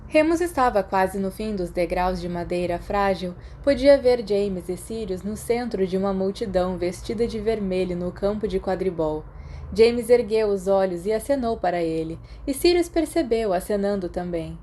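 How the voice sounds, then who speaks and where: A Brazilian woman narrates fiction from a script. Her voice is soft-edged and mellow, sitting at an ordinary pitch for a woman. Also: lively intonation, normal speed, slight background noise. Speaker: podfic narrator.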